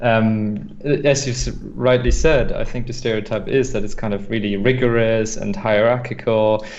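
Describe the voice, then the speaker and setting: A man's voice: on the low side, fairly even intonation, moderate pace, a little mellow, lo-fi sound. A man co-hosting a podcast, asking questions hesitantly and searching for words. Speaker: podcast co-host.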